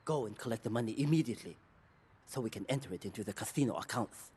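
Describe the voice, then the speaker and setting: An actor playing a proud, severe Yakuza crime boss in a video game, formal and commanding, quick to anger. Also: male voice, mid-range voice, expressive, a little mellow, breathy edge. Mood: stern, commanding. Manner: formal, commanding, clipped orders. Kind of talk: dramatic video game cutscene acting.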